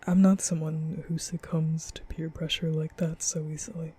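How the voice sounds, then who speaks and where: A man playing a nervous, apologetic young vampire in an intimate ASMR roleplay, speaking breathily and often half-whispering, halting and stammering. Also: on the high side, level tone, warm tone, slightly rough. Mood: flustered, defensive. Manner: halting, stammering, rambling, sighing. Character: nervous, apologetic young male vampire, guilt-ridden and soft.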